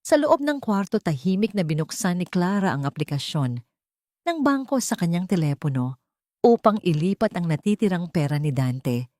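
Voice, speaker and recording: A woman's voice: lowish voice, a little mellow, no echo, studio quality. A woman narrating a scripted dramatic story as a storyteller. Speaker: narrator of Tagalog drama stories.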